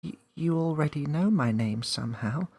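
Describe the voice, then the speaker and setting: Man doing a seven yr old storybook girl, curious n earnest, higher pitch, gets frustrated easy. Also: expressive, moderate pace, gravelly, a little mellow, breathy edge, full character voice. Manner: questioning, earnest.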